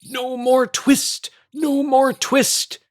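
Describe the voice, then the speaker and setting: A man's voice: extremely high pitch, little pitch movement, extremely slow, dry recording, clean studio sound, pitched above the performer's own voice, full character voice. A man voicing tiny merry mice that chant teasing rhymes in a singsong way for a storybook. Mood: shrill taunting. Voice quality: little, twittering. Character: tiny merry singing mice, taunting a cat.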